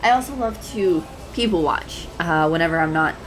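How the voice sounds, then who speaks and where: A woman's voice: on the low side, animated, moderate pace, a crowd in the background, lightly acted, rough recording. A woman playing a shy, adorkable young writer in an audio roleplay, speaking hesitantly with stammers, nervous giggles and apologetic phrasing. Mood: warming up, chatty. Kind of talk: intimate one-sided audio roleplay acting. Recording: clear background noise, cafe ambience effects. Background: coffee shop sound effects.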